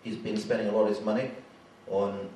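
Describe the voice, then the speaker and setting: A man's voice: lowish voice, fairly even intonation, a little fast, a little hiss or hum. Glaswegian man hosting in an echoey hall. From Scotland. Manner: hesitant, many ums and restarts. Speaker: event host. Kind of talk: introducing speakers at a meeting. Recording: echoey hall, voice far from mic.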